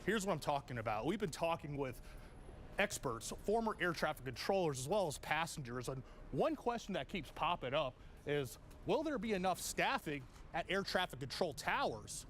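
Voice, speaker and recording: A man's voice: on the high side, big pitch swings, normal speed, a bit bright. An American man presenting a scripted television news report, recorded with background noise.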